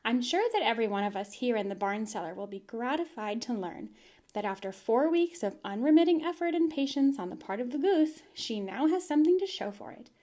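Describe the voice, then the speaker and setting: An eager, chatty young farm girl from a storybook, read by a woman. She bubbles on about the animals in a higher-than-usual voice, lively and bright in pitch. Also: natural voice. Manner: eager, rushing on with her story.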